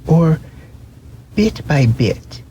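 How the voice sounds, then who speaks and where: A timid little storybook toy rabbit, acted out by a man, speaks in a raised, swooping voice. The rabbit sounds naive and full of hesitant wonder, picking through each question slowly. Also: on the high side, sing-song, dark tone, slight background noise, pitched above the performer's own voice, heavily acted.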